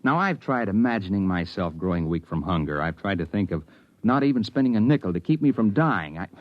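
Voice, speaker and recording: An earnest, low-voiced radio-drama sleuth. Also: male voice, sing-song, fairly quick, dry recording. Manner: earnest, insistent, hesitating mid-thought. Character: earnest, stubborn male stage actor narrating his own suspicions. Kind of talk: 1950s radio drama acting and first-person narration. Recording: dull, lo-fi 1950s radio recording.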